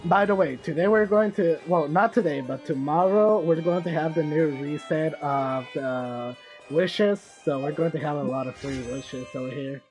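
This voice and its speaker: A man's voice: on the high side, moderate pace, darkish tone, soft background music. A man streaming a video game, giving casual, rambling commentary as he thinks aloud. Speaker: gaming streamer.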